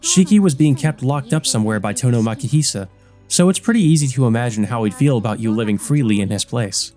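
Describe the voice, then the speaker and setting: A man's voice: medium pitch, animated, fast, warm tone, soft background music, close to an everyday voice. A man voicing a composed, stern but caring older schoolgirl who explains and cautions precisely in a visual novel. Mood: grave, explanatory. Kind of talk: visual novel character reading.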